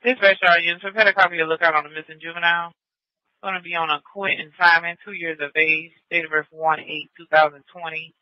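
Casting: formal male dispatcher, fairly high voice, issuing bulletins on a dry radio channel. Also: little pitch movement, quick pace, no echo. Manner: formal, procedural. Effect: police radio. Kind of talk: reading out a lookout bulletin. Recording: dull lo-fi dispatch radio audio.

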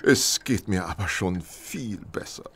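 An actor playing a mild, pious, frail vicar who speaks hesitantly and courteously in a radio play. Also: male voice, sits low, expressive, measured pace, a bit bright, slightly rough, heavily acted. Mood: mild, polite. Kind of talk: German radio play acting.

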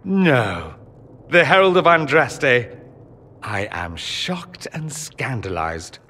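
A man's voice: medium pitch, very animated, slow, bright, forceful tone, full character voice. An actor playing a proud, wounded nobleman mage in a video game, articulate and sarcastic in a theatrical way. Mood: defiant, sardonic. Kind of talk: dramatic video game acting. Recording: long reverb, music behind.

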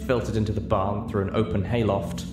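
A man's voice: fairly low pitch, flat delivery, brisk. A man reading a scripted story aloud as an audiobook narrator, in a roomy recording. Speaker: audiobook reader. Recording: roomy echo, music behind. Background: music.

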